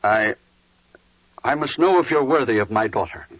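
A man's voice: mid-range voice, lively intonation, moderate pace, a bit bright, breathy edge, no echo. An actor playing a troubled, dutiful older father in a biblical 1940s radio drama, grave and weighty. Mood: troubled, halting. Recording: muffled 1940s radio recording.

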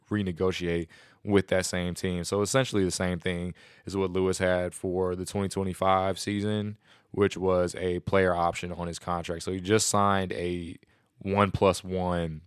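A man hosting a casual solo podcast, excited and rambling, a little nasal and sniffly from a cold. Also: low voice, clean tone, no echo, studio quality. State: congested from a stomach bug. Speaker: podcast host.

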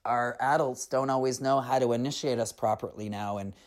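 A storyteller narrating and reflecting on a tale in an earnest, unhurried, reflective way. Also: medium pitch, steady tone.

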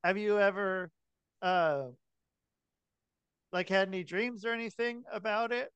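A man hosting a casual podcast chat, asking informal, halting questions with some swearing. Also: sits high, steady tone, fairly bright tone, clean tone, no room sound, muffled recording. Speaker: podcast host.

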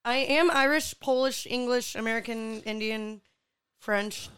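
A woman's voice: on the high side, measured pace, fairly bright tone, clean tone. A young woman in her twenties co-hosting a casual podcast chat at home, giggling and reacting a lot. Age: 20s. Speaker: podcast co-host.

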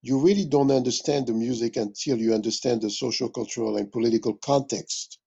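A man from West Africa explains things in an interview in a deliberate, scholarly way, repeating phrases for emphasis as a musician and teacher would. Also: mid-range voice, vocal fry, mellow, breathy edge, muffled recording. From West Africa (Mali). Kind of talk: reflective interview talk, explanatory.

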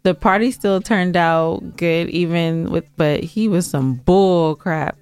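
A woman's voice: lowish voice, takes it slowly, a little mellow, clear, resonant tone, no echo. An American woman co-hosting a podcast in casual banter, blunt and emphatic in the way she gives her opinions. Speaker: podcast co-host.

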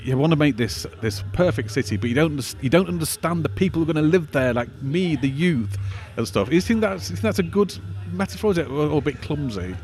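A man's voice: fairly high pitch, lively intonation, quick pace, darkish tone, soft background music. A male podcast host chatting wryly about a film, then reading the show's closing credits. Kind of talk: casual film chat and scripted outro.

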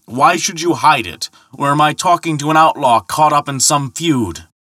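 A man voicing a booming, hot-tempered and credulous thunder god in a mythological tale, bellowing and boasting indignantly. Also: moderate pace, bright, forceful tone, no room sound, clean studio sound. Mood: scornful. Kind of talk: dramatic mythological storytelling.

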